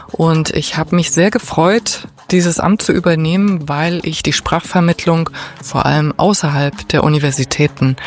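A middle-aged woman from Berlin giving articulate, explanatory interview answers in a formal way. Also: sits low. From Berlin, Germany. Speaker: interview guest, head of a municipal education and culture office.

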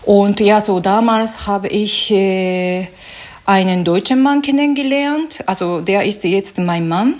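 A woman from Japan with a Japanese accent, speaking German as a second language in an informal, halting way, searching for words as an interview guest. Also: mid-range voice, darkish tone. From Suwa, Nagano, Japan. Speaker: interview guest, glass artist.

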